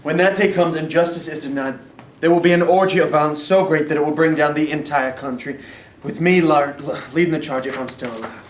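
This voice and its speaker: A man's voice: a little high, fairly even intonation, fairly quick, natural voice. A man playing a frustrated schoolteacher husband, earnest and agitated, in a staged play reading. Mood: bitter. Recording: echoey room, distant mic, faint noise.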